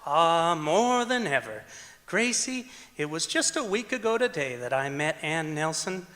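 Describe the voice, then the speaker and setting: A man playing an excitable, emphatic comic friend in an old-time radio comedy. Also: a little high, unhurried, full character voice. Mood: lovestruck, eager. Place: large auditorium stage, live performance. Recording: echoey auditorium stage recording.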